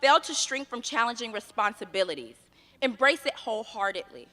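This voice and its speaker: A young American woman delivering a commencement speech as the graduate student speaker, measured and earnest, with rhythmic lists. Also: a little high, sing-song, pushed and bright, big-room sound. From United States. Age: young adult. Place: outdoor graduation ceremony on a field.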